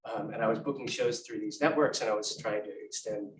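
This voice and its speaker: A man's voice: fairly low pitch, fairly even intonation, a little fast, slight background noise. A man speaking as a musician on a panel, loose and rambling with many fillers. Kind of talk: panel discussion. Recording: echoey room, far from mic.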